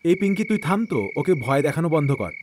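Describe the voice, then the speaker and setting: A man's voice: on the high side, very animated, racing, noisy background. A man playing a confident, skeptical young boy detective who leads his team in a cartoon. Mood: impatient.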